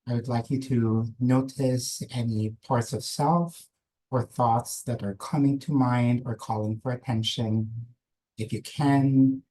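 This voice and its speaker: A man whose first language is Filipino speaks in a mellow, relaxed tone. He leads a webinar audience through a calm grounding exercise at a measured, invitational pace. He is heard through a computer mic on a video call. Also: mid-range voice, rough recording. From Philippines. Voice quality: slow, measured, gentle invitational delivery. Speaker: guest speaker, nurse practitioner.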